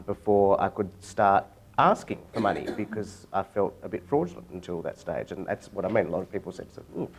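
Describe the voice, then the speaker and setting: A man's voice: sits low, a little mellow. A man speaking on a panel, reflective and hesitant. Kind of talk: panel discussion.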